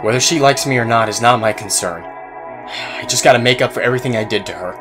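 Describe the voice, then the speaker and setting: A man playing a remorseful teenage boy who speaks haltingly and apologetically in an audio drama. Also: medium pitch, over music, lightly acted. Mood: remorseful, defensive. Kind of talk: fan audio drama acting.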